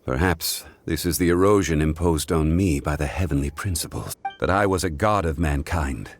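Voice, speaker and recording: An actor playing an ancient, dignified god in human form in a fantasy video game, speaking in a grave, measured, formal way. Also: male voice, a bass voice, faint music behind. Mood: solemn, reflective.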